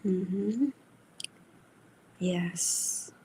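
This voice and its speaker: A woman's voice: on the low side, steady tone, very slow, dark tone, a little hiss or hum, poor audio. A Brazilian woman, a native Portuguese speaker, co-hosting a live reading, hesitant with many fillers and half-whispered asides. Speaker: co-host. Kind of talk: live-stream chat reading out comments.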